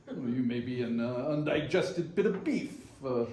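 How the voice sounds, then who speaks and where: A man's voice: mid-range voice, animated, moderate pace, darkish tone, close to an everyday voice. A man playing a skeptical, uneasy old miser in a Victorian readers theater ghost story, wry and hesitant. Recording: echoey room, faint noise.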